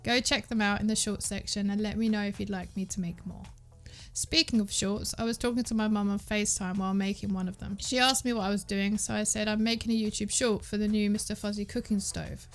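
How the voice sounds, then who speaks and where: A woman's voice: mid-range voice, fairly even intonation, a little fast, soft background music. A woman narrating a scripted gaming news recap as the host of an online show.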